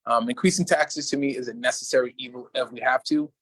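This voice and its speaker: A man's voice: steady tone, fast talker, fairly bright tone, slightly rough, dry recording, rough recording. A man running for mayor, answering interview questions in an earnest, repetitive way, often restarting his sentences, speaking from a small shop over a livestream. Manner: earnest, repetitive, salesman-like pitch with many restarts. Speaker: mayoral candidate. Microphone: livestream video call.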